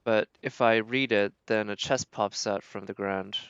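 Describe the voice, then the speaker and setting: A man's voice: fairly low pitch, steady tone, unhurried, fairly bright tone, smooth, no room sound, highs cut off. A man giving casual, rambling gameplay commentary as a streamer, thinking aloud as he plays. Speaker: game streamer.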